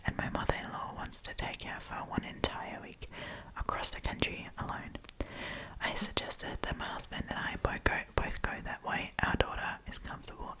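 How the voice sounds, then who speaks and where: A woman whispering in a breathy, chatty, informal way as she hosts an ASMR storytime, reading aloud and commenting. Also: rough recording. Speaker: host of storytime series.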